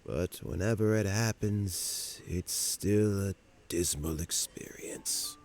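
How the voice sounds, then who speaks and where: An actor playing an elderly, weary government official in an anime game, speaking in a measured, formal and gracious way. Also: male voice, sits low, a little mellow. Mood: regretful, reflective.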